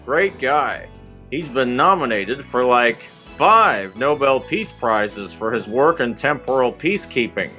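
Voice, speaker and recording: A man's voice: mid-range voice, animated, slow pace, bright, forceful tone, soft background music. A man playing an unflappable, bureaucratic time-traveling agent in an audio drama, matter-of-fact and portentous. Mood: breezy, assured.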